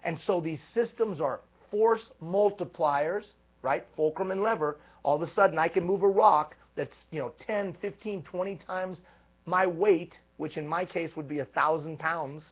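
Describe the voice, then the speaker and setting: Husky-voiced man coaching over a tinny line. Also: fairly high pitch, normal speed. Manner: energetic, emphatic, repetitive build-ups. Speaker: leadership coach. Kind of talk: live coaching talk to a group. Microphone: live stream microphone. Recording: tinny phone-like live stream.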